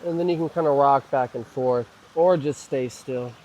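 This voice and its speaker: A man leading a guided mobility flow, calmly cueing each move and breath, his voice slightly hoarse as he recovers from a cough. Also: mid-range voice, moderate pace, a little hiss or hum, poor audio. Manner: calm, gentle cueing of moves and breaths. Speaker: mobility and stretching instructor.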